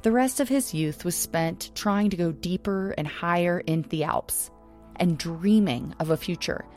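Woman, low voice, measured scripted narration, faint music underneath. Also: darkish tone. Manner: measured, descriptive. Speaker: podcast producer and narrator.

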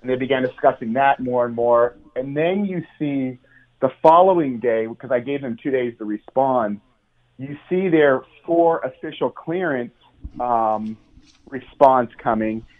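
A man's voice: medium pitch, a little slow. An American man, a journalist guest, explaining at length in an earnest way, with many ums and restarts, speaking over the phone on talk radio. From United States. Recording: tinny phone line.

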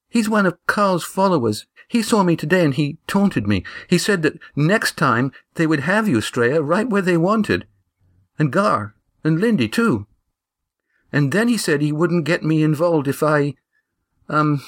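A man's voice: a little high, animated, a little slow, pitched above the performer's own voice. A man voicing a spirited young woman who speaks with defiance. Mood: defiant.

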